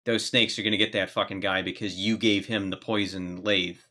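A man's voice: deep voice, little pitch movement, brisk, pushed and bright. A man in his thirties co-hosting a podcast, chatting casually about films. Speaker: podcast co-host. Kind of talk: casual film discussion. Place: small home room, indoors.

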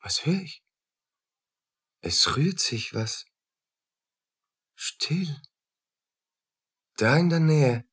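An actor playing a tormented, feverish soldier unraveling in guilt in a radio play, husky and agitated, in fragments. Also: male voice, fairly high pitch, expressive, very slow, long pauses, fairly bright tone, full character voice. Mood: haunted. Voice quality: husky, rough. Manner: agitated, fragmented, muttering and crying out.

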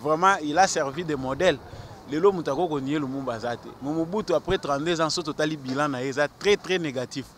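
A man's voice: a little high, quick pace. A man in his thirties with a Congolese accent, mixing French and Lingala, answers a street interview in an earnest, argumentative way, stammering over repeated words. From Congo (DRC). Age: 30s. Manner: gestures with his hand while talking. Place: outdoors on a busy city square. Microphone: handheld mic held out by the interviewer. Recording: faint street noise outdoors. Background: faint traffic.

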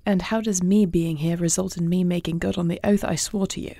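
Woman as a creaky, mellow, wary warrior princess. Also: fairly low pitch, steady tone, dry recording. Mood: guarded. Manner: formal, measured, guarded. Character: wary, composed warrior princess, heir to throne. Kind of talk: fantasy audio roleplay acting.

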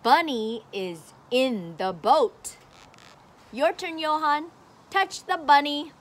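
A woman teacher in her forties reading a picture book aloud to a child, outdoors, filmed on a phone at arm's length. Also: sits high. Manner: slow, simple, encouraging teacher talk. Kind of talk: slow read-aloud lesson for a child. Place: outdoor patio by a brick wall. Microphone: phone camera at arm's length.

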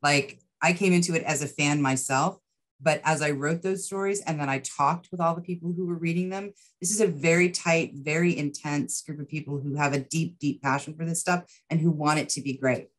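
A woman's voice: deep voice, brisk, poor audio. A middle-aged woman chatting casually as an interview guest on a video call. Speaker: writer-producer and interview guest. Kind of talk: casual chat. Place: indoor room with bookshelves.

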